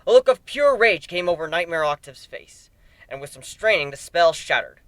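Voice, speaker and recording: A man's voice: on the high side, big pitch swings, moderate pace, fairly bright tone, dry recording. A man reading a first-person fantasy story aloud as an amateur dramatic narrator. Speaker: amateur fan fiction reader. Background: background music.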